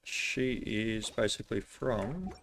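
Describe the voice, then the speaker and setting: A man's voice: lowish voice, expressive, slow, gravelly, a bit bright, a little hiss or hum. A man doing casual livestream commentary on a video game, thinking aloud in an informal, offhand way. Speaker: game streamer.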